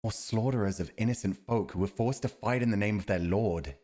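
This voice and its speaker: A man's voice: on the low side, a little fast, mellow, close to an everyday voice. A man playing a loyal, principled royal commander in a fantasy audio roleplay, speaking in a grave, formal and dutiful manner. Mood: concerned, firm.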